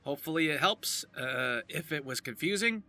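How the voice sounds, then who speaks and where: Sharp, animated man giving casual tutorial. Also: a little high, moderate pace. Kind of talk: loose, casual instructional talk.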